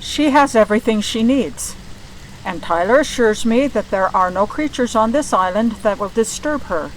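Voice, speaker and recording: A woman's voice: a little high, animated, normal speed, slight background noise, lightly acted, poor audio. An actress playing a firm, commanding middle-aged mother and mage mentor who speaks decisively and does not bend.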